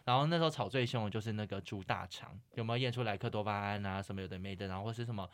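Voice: low voice, racing. A podcast host with a Taiwanese accent telling a comedic anecdote in a casual, giggly, self-mocking way.

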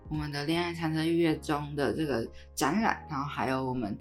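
A woman's voice: low-pitched, steady tone, fairly quick, soft background music. A woman with a Taiwanese accent who co-hosts a podcast in a casual, chatty style. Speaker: podcast co-host.

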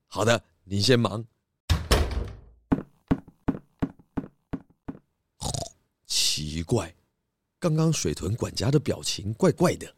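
A man's voice: fairly low pitch, very animated, unhurried, noisy background. A man playing a genial, slightly bumbling, food-loving pig detective in a children's mystery audio drama. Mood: curious, polite.

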